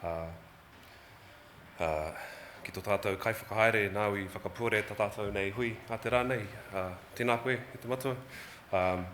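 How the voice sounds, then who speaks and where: A man opening with a formal ceremonial greeting. He speaks slowly and solemnly, with some hesitations. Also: lowish voice, a bit bright. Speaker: symposium speaker. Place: conference hall. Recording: echoey hall, faint room noise.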